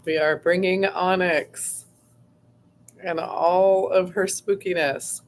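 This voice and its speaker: A woman hosting a casual livestream, her voice going hoarse, rambling chattily with lots of fillers and frequent throat clearing. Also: fairly low pitch, fairly even intonation, slow pace, slight background noise. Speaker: host.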